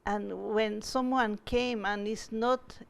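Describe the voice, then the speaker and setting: A woman's voice: mid-range voice, steady tone, takes it slowly, creaky. A woman from Chile, a guest artist who answers audience questions at a museum talk and often restarts as she searches for words. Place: museum event space.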